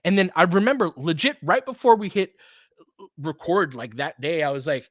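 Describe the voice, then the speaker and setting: A podcast host chatting casually with viewers, telling a rambling story full of fillers and restarts. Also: male voice, on the high side, animated, normal speed, no room sound, clean studio sound. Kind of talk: casual livestream chat answering viewers.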